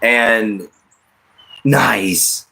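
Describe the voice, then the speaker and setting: A man's voice: fairly low pitch, drawn-out words, creaky, bright, forceful tone. An American man in his late thirties hosting a casual livestream, giving rambling, repetitive opinions and addressing listeners directly. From United States. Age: late 30s. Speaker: livestream host.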